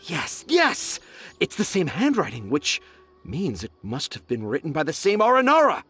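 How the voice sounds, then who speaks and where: An actor playing an excitable, stubborn writer of fairy tales in a game, eager and dramatic. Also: male voice, a little high, normal speed, husky, faint music behind, exaggerated voice. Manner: eager, then insistent and dramatic. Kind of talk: game voice acting.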